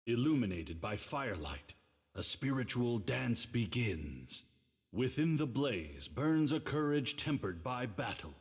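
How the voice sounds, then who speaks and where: A man's voice: medium pitch, very animated, measured pace. A man playing a solemn, grand storyteller, giving theatrical scripted narration for an anime video game. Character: solemn, grand male storyteller of warrior legend. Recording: long reverberant hall effect.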